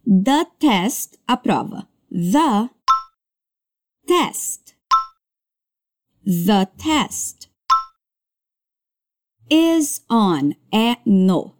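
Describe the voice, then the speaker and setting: A woman teaching English as a language lesson, modeling words slowly and carefully before brisk explanations. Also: mid-range voice, big pitch swings, a little mellow. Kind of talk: slow drilled pronunciation lesson.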